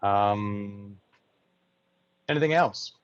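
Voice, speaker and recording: A man's voice: lowish voice, extremely slow, clear, resonant tone, no echo. A man chairing an informal online meeting, hesitant and often searching for his words, heard over a video call. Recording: dull, dry video-call audio.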